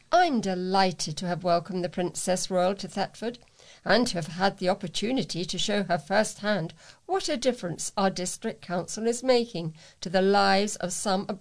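A woman reads local news aloud as a volunteer reader. Her pitch sits in the middle range for a woman, and she delivers written items carefully. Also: normal speed. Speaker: volunteer newsreader.

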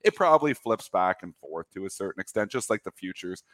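Canadian guy, low voice, rambling co-host, dry close mic. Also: big pitch swings, fairly quick, a bit bright, dry recording. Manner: rambling, self-deprecating. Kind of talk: casual market chat.

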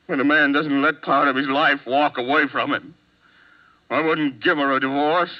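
A man's voice: fairly high pitch, fairly even intonation, moderate pace, lightly acted. An actor playing a hot-tempered, desperate jealous husband hunted as a murder suspect in a 1950s radio drama, volatile in his delivery. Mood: desperate pleading. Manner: volatile, swinging from menacing outbursts to broken murmurs. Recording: dull, band-limited old 1950s radio recording.

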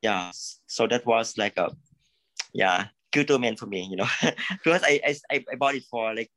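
A man from Thailand, a creative consultant, speaking in a halting way, repeating words and saying "you know" often. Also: medium pitch, lively intonation, a bit bright, no room sound. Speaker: coaching client, creative consultant. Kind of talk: live coaching session.

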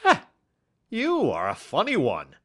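A man voicing a blunt, jovial veteran police inspector with a loud, joking manner. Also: mid-range voice, big pitch swings, unhurried, pitched above the performer's own voice.

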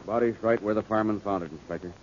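A man plays a businesslike police detective on a muffled old radio show. His voice is on the low side and plainly matter-of-fact, with noticeable noise in the recording. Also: racing, close to an everyday voice. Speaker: supporting actor in a detective radio drama.

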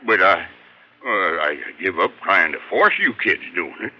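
An actor playing a crazy, big-hearted old cowboy with a rural Western American accent and a folksy, stammering manner in a radio drama. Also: male voice, low voice, sing-song, moderate pace. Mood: sheepish. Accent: rural American West. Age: older. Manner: folksy, stammering, repeats himself. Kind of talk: 1950s radio drama acting. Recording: dull vintage 1950s radio recording.

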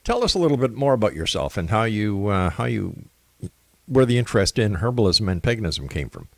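Low-voiced male radio host, dry sound. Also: very animated, fairly quick, creaky, dry recording. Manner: announcer-style, rattles off station lists. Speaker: radio talk show host. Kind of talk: radio show introduction and interview.

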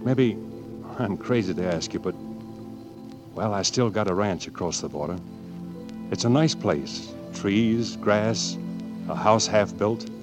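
Young cowboy outlaw, male actor: low voice, earnest, halting, vintage radio drama with music behind. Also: close to an everyday voice. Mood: earnest, shy. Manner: halting, plainspoken western talk. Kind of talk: 1940s radio acting.

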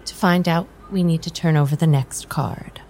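A woman playing a calm, enigmatic tarot reader in an improvised audio drama, speaking in a measured, soothing and formal way. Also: sits low. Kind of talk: improvised radio drama acting. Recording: faint background ambience noise.

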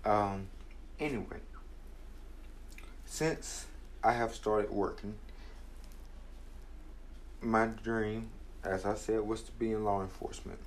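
A man's voice: fairly low pitch, steady tone, takes it slowly, long pauses, a little hiss or hum, low-quality recording. A young man in his twenties giving an earnest, reflective motivational talk about his own struggles. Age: 20s. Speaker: podcast host.

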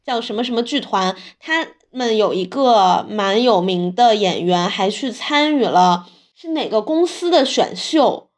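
A woman's voice: medium pitch, lively intonation, measured pace. A woman speaking as a podcast host in casual conversation. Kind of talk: casual chat.

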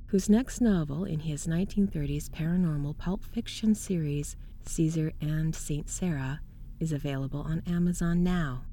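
Low, mellow-voiced woman presenter. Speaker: presenter and voice actress. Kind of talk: scripted introduction and credits.

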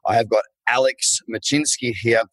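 A man's voice: medium pitch, moderate pace, fairly bright tone, no echo, poor audio. A man hosting an interview show, talking in a friendly, loose way. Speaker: podcast host. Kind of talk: casual interview chat.

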